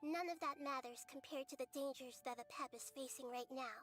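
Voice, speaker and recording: A woman voicing a small, young, wise goddess in a video game, with a soft youthful voice and a calm, measured, solemn manner. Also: sits high, soft background music. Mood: gentle resolve. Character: small young goddess girl, wise, gentle and self-sacrificing. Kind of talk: English video game voice acting.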